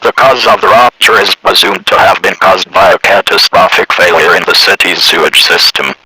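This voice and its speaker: A robotic, raspy male announcer reading a scripted civil emergency message in a formal, impersonal way. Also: low voice, steady tone, moderate pace, bright, forceful tone, poor audio.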